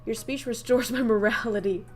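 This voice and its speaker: A woman's voice: on the high side, fairly even intonation, normal speed, soft background music. A young woman from northern Vietnam with a Vietnamese accent, chatting casually on a livestream while she teaches idioms and laughs often. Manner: chatty, laughs often, reads chat aloud.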